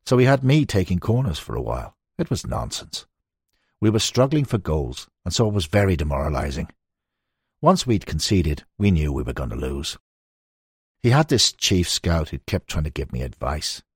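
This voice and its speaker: A man with a deep, slightly husky voice narrates a factual book. He reads in a measured storytelling manner, and the recording is close and dry. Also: very animated, no echo. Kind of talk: scripted narration of a football history.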